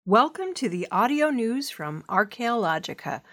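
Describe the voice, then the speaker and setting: A woman reading scripted news stories as a measured narration for listeners. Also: a little high, big pitch swings, bright, forceful tone. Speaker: news reader.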